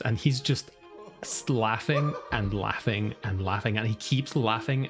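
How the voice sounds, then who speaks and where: A man improvising narration of his character in a tabletop game, casual, rambling and repetitive. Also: mid-range voice. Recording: music and guitar behind voice.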